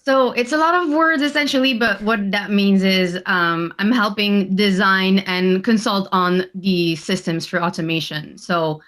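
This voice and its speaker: Woman, medium pitch, hesitant pauses, video call. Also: a bit bright. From French Canada. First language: French. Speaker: guest. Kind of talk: casual interview answers.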